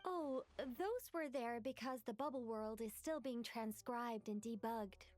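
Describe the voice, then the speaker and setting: A woman playing a composed, scholarly mage in a video game, explaining in a measured, articulate way. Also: fairly high pitch, darkish tone, natural voice. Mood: composed, informative.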